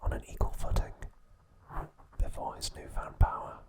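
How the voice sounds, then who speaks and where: A man narrating an ASMR recap as host, speaking in a soft, breathy whisper with a gentle, halting delivery.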